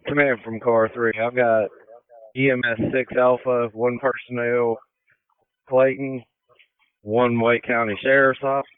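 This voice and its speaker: A man's voice: mid-range voice, little pitch movement, unhurried, clean tone. An American man giving status reports as an emergency officer over two-way radio. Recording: tinny, dry two-way radio.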